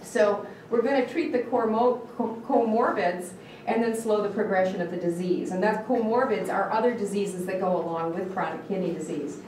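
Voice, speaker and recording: A woman's voice: medium pitch, normal speed, darkish tone, a little hiss or hum. Michigan woman lecturing in an echoey room. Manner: earnest, questions the audience, restarts words. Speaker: dietitian giving a talk. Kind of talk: live educational talk to an audience. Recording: echoey room picked up from a distance.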